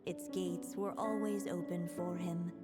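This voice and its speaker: Storybook narrator woman acting, fantasy game: solemn, literary, slow, long reverb, clear music underneath. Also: fairly low pitch, fairly even intonation, lightly acted. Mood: measured, solemn. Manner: measured, literary.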